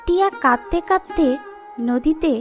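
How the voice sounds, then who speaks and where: A woman's voice: high voice, normal speed, faint music behind, natural voice. A woman reading scripted storybook narration for a fairy-tale cartoon.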